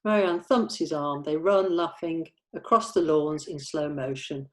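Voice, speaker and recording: A woman's voice: low-pitched, unhurried. A woman reading out stage directions at a script table read.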